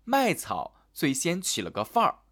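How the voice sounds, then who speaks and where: A man narrating a fairy tale for children in a gentle, sing-song storytelling manner. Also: a little high, wildly swooping pitch, normal speed.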